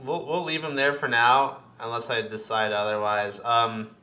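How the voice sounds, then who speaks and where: A man's voice: medium pitch, little pitch movement, moderate pace, pushed and bright, roomy sound, slight background noise. A man chatting casually about games and ranking monsters like a host, slangy and prone to swearing. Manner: profane, slangy. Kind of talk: casual gaming chat, ranking game monsters.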